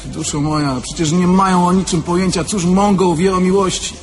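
An actor playing a naive, pleading dead young car thief, speaking simply and hesitantly in a theatrical tragifarce. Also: male voice, sits high, fairly even intonation, moderate pace, faint music behind. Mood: earnest.

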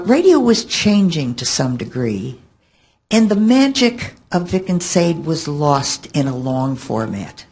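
An older woman answering questions on a panel, haltingly, thinking aloud, into a table microphone in a conference room. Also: mid-range voice. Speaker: panelist and longtime fan. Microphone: table microphone on stand.

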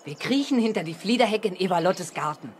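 A man's voice: sits high, lively intonation, fast talker, a little hiss or hum. A male actor playing an earnest, eager boy who wants to be a detective in a children's radio play. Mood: eager, scheming. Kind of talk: 1970s German children's radio play acting.

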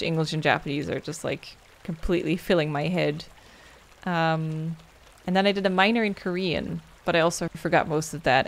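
A young woman chats loosely with her viewers at the end of a game stream. Her voice sits a little low for a woman, and she rambles, thanks people by name and fields questions from chat. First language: Dutch.

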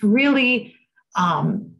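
A woman's voice: fairly high pitch, animated, very slow. A woman giving an explanatory talk over a video call in a conversational manner, checking in with listeners as she goes. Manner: conversational, seeks agreement with tag questions. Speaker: presenter, cultural trainer. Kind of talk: explanatory slide presentation to an audience. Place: indoor room on a video call. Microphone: video call microphone.

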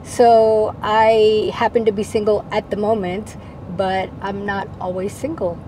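Middle-aged female vlogger, Spanish as first language, soft-edged relaxed tone, explanatory to-camera talk, some noise inside a van. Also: medium pitch, a little slow, poor audio. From Venezuela, moved to the United States at ten. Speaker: vlogger, channel host. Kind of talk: casual vlog, answering viewer questions to camera. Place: parked camper van cab. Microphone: lapel mic. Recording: steady background noise inside a vehicle.

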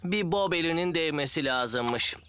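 A man playing a calm, friendly builder who leads a team in a cartoon dub, patient and instructing. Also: fairly high pitch, a little slow, very noisy. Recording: loud music behind. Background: loud music.

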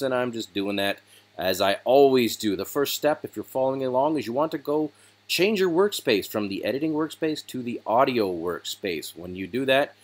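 A man hosting a software tutorial, explaining each step in a casual, relaxed way over a screen recording. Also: mid-range voice.

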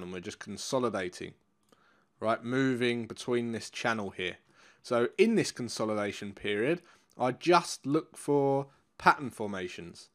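A middle-aged man with a nasal, congested voice, walking viewers through trading charts in a casual, instructive way and repeating key points. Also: mid-range voice. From England. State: congested, blocked nose. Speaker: currency trading coach. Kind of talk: live trading room chart walkthrough.

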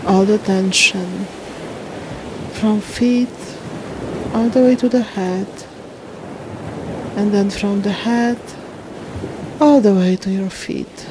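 A woman guiding a meditation in a soothing, unhurried way, speaking in short phrases with long pauses. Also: mid-range voice. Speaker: meditation guide. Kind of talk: slow guided meditation narration. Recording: steady wash of ocean waves and wind noise. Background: ocean waves.

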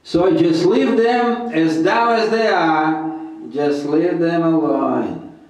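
Slow, scornful middle-aged man. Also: a little high, darkish tone. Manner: contemptuous, insulting, slow and deliberate. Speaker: commentator reacting to a sermon. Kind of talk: scornful commentary. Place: room crowded with ornaments. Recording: very echoey room.

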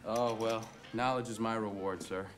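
An actor playing a polite, quietly confident young law student in a film, deferential but sure of himself. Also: male voice, on the low side, fairly even intonation, moderate pace, faint music behind, close to an everyday voice. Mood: polite, assured. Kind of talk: naturalistic film acting.

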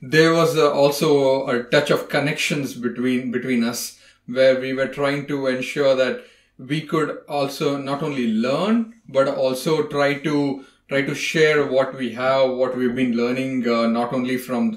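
A man's voice: medium pitch, steady tone, unhurried. Man from India, podcast guest, rambling, lots of fillers, echoey room. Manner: earnest, rambling with many fillers. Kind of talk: casual conversation.

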